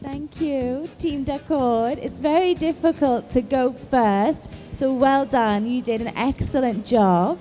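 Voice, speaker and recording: A woman speaking as a competition judge, giving measured, encouraging feedback to performers. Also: high voice, mellow. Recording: echoey hall, faint music.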